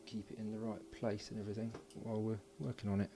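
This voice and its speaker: A man's voice: lowish voice, monotone, fast talker, background noise. A man giving casual, unscripted hands-on commentary as he builds an electronics project, murmuring along while he works. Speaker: hobbyist electronics builder and presenter.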